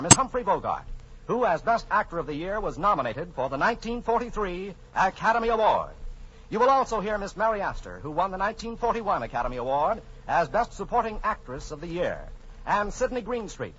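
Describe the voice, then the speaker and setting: A male American radio announcer from the 1940s, pitched high with a lively, swooping range. He reads a formal sponsor introduction in a grand, declamatory way. The old broadcast sounds dull. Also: husky.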